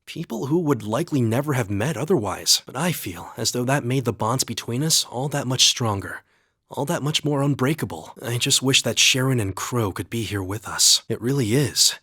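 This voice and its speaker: A man voicing a calm, earnest young highland warrior in a video game, with measured, slightly formal phrasing. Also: slightly rough, no room sound, studio quality. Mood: earnest, composed. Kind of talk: English video game voice acting.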